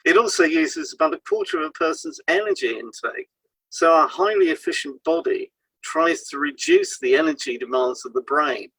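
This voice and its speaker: A man's voice: on the high side, big pitch swings, moderate pace, gravelly, pushed and bright, husky, no room sound, low-quality recording. A man co-hosting an educational podcast, reading scripted explanations and stressing key points. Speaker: podcast co-host, hypnotherapist.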